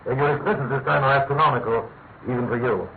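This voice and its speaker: Casting: male actor as stern senior intelligence chief, sardonic and measured, radio play, narrow phone-like sound with faint noise. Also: mid-range voice, close to an everyday voice. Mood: dry, reproachful. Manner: dry, sardonic, deliberate. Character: gruff older male spy chief, dry and exacting. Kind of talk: radio drama acting.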